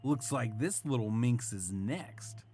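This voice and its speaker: A man's voice: medium pitch, expressive, slow pace, dark tone. An actor playing a brusque male mover from an auction house who orders his partner around. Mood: impatient. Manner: brusque, bossy toward partner. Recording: music bed behind.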